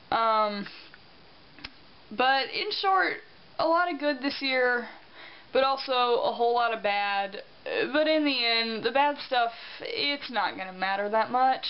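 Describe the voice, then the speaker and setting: A young woman in casual vlog chat, rambling and self-deprecating, tired and congested with a sore throat. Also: mid-range voice. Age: young adult. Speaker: vlogger. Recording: dull lo-fi sound with faint hiss.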